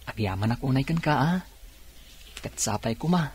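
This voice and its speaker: Man acting humble young graduate, medium pitch. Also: animated, normal speed, a little hiss or hum, lightly acted. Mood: warm, teasing. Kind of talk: Ilocano radio drama acting.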